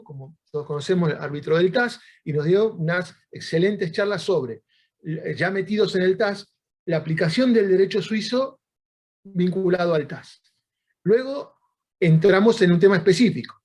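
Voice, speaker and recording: Male host, high voice, chatty intro with fillers. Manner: conversational, many fillers like "eh" and "este". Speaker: host and moderator. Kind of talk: introducing a speaker.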